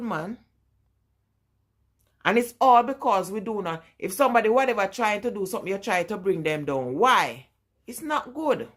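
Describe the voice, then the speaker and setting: A woman in her forties from Jamaica, with a Jamaican accent, chatting casually with live-stream viewers and repeating her points with emphasis. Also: mid-range voice. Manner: emphatic and repetitive, talking straight to viewers. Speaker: vlogger.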